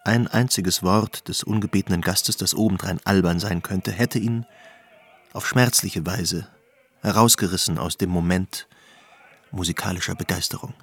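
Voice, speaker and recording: Male narrator, radio drama. Deep, slightly husky, measured literary delivery.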